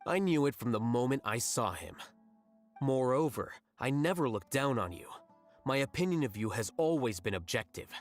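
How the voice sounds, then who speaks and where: An actor playing a rational, blunt young scholar in a video game, speaking in a measured, detached, matter-of-fact way. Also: male voice, medium pitch, very animated, faint music behind, lightly acted. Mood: cool, blunt. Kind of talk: English video game voice acting.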